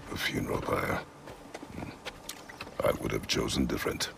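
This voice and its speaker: An actor playing a stoic, grieving warrior husband in a game cutscene, gravelly, terse and solemn. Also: male voice, moderate pace, over music, exaggerated voice. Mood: grave, guarded. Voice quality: gravelly, rumbling. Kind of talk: dramatic video game cutscene acting.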